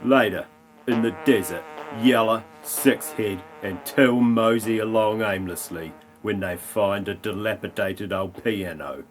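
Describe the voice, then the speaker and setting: A man giving deadpan, mock-grand narration for a comic parody audio play. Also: fairly low pitch, measured pace, slight background noise.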